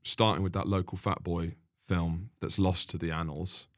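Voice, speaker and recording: A man in casual conversation as a podcast guest and comedian, wry and bantering, quick to laugh. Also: sits low, level tone.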